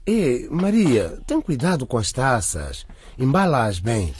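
A man's voice: mid-range voice, wildly swooping pitch, slow pace, noisy background. An actor playing a secretive, busy father of a teenage girl in a radio drama. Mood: secretive, instructing.

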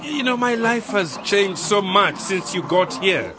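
A grateful, sentimental villager in a radio drama. His voice sits high for a man and rises and falls freely as he speaks earnestly to old friends. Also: animated, a little slow. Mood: grateful, emotional.

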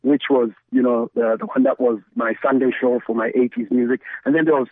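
A man's voice: medium pitch, steady tone, a little fast, dry recording. A middle-aged man from Zambia, telling his story conversationally as an interview guest over a remote call. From Zambia, the Copperbelt. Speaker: guest, radio DJ and station founder. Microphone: remote call connection. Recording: thin phone-like line.

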